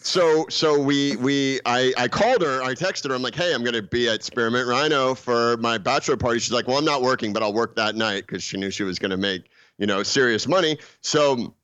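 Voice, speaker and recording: A man of forty with a voice of middling pitch, telling a rambling story full of false starts. He laughs at his own punchlines. The recording is close and dry. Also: a little fast, a bit bright, clear, resonant tone, no echo, highs cut off. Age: 40s. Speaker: podcast co-host. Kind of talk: casual storytelling.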